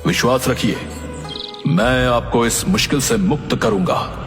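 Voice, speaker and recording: A man playing an excitable village exorcist in a cartoon, speaking in a quick, emphatic way. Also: medium pitch, in a large hall, music in the background, lightly acted. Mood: confident. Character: self-assured village exorcist who turns to frightened begging.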